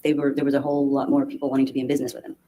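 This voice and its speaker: A woman testifying as a witness in court, speaking haltingly with many restarts and fillers. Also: extremely low pitch, completely flat delivery, over a noisy crowd. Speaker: trial witness.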